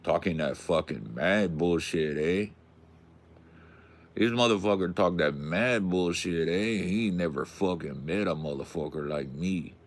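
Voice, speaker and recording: A man's voice: sits low, unhurried. A man voicing a hot-tempered street tough with a Mexican American accent, threatening, swaggering and full of slang. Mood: angry, threatening.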